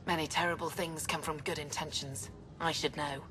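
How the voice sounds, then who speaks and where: A woman's voice: low voice, fairly even intonation, normal speed, sharp tone, a little hiss or hum. An actress voicing a guilt-ridden woman scientist, tormented and stammering under strain, in a video game. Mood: anguished.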